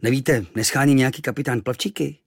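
A man voicing an excitable young boy, eager and brave, talking in quick earnest pleas. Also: medium pitch, creaky, husky, no echo, studio quality. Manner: eager, breathless pleading.

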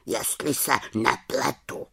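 A woman voicing a wise, stern male raven in an audiobook, speaking slowly and scolding. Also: extremely low pitch, steady tone, creaky, whispered, heavily acted. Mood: reproachful. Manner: slow, scolding and preachy. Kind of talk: audiobook character reading.

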